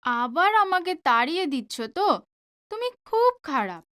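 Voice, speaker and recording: A woman's voice: very high voice, sing-song, normal speed, a bit bright, full character voice. A woman playing a pouting, headstrong, lovestruck young woman in an audio drama, petulant and pleading. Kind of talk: Bengali audio drama acting.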